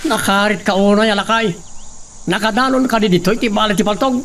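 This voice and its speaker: A man's voice: high voice, brisk, a bit bright, distant mic, slight background noise. A man playing a hot-tempered, menacing hacienda henchman in an Ilocano radio drama, taunting and threatening.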